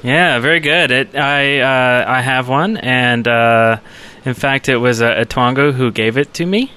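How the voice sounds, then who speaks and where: A man's voice: mid-range voice, measured pace, bright, forceful tone, clean tone. A man co-hosting a casual gaming podcast, talking in an easygoing, agreeable way. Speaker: podcast co-host.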